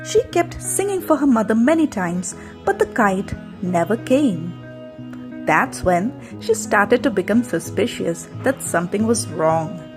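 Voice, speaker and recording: A woman narrating a scripted folktale as a storyteller, in an expressive, dramatic manner. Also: mid-range voice, measured pace. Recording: music behind the narration.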